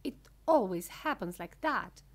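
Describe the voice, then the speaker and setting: A woman's voice: a little high, very animated, measured pace. A woman voicing an eccentric male sweet-maker in a storybook, rueful and matter-of-fact.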